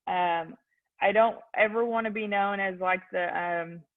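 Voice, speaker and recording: A woman's voice: mid-range voice, steady tone, a little slow. A young adult woman with a Texan accent, a real estate agent answering interview questions in a youthful voice, earnest and full of ums. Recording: tinny phone-like line.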